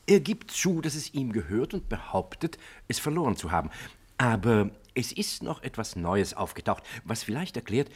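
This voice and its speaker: An actor playing a dutiful, thorough police inspector in a German radio play, reporting methodically and quick to agree. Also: male voice, medium pitch, big pitch swings, breathy edge. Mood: businesslike. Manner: methodical reporting, eager repeated assent.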